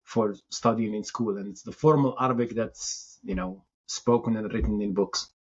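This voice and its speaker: A Moroccan-born man whose first language is Tamazight, answering interview questions in a thoughtful, halting way, often restarting his phrases. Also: on the low side, expressive, vocal fry, husky, dull audio. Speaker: city information security chief, guest.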